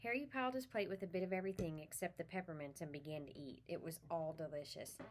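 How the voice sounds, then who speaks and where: A woman's voice: lowish voice, fast. Woman reading a children's book aloud. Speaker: read-aloud reader for children.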